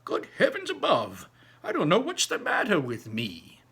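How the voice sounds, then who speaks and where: A man performs a storybook grandfather, past ninety and full of amazement. The voice leaps high and rings out brightly, stressing words emphatically and exclaiming with wonder at what he describes. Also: huge pitch swings, moderate pace, husky, exaggerated voice. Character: excitable, astonished old grandfather over ninety. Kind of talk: storybook read aloud.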